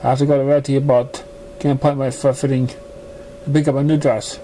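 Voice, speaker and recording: A man reading a story aloud as an amateur narrator, stumbling and halting over the words. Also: mid-range voice, level tone, mellow, low-quality recording. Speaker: amateur fan fiction reader. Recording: noticeable background noise, amateur recording.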